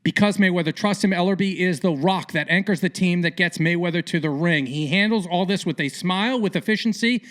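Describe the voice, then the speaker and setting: A man hosting a casual podcast interview in a colloquial, theatrical way with punchy, emphatic phrasing and a gravelly voice. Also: sits high, fairly quick, hardly any pauses, fairly bright tone. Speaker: podcast host.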